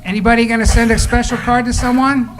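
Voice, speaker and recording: A man's voice: extremely high pitch, level tone, quick pace, slight background noise, poor audio. A man leading a children's time at church, reading aloud and chatting to the children in a playful way, with questions and simple instructions.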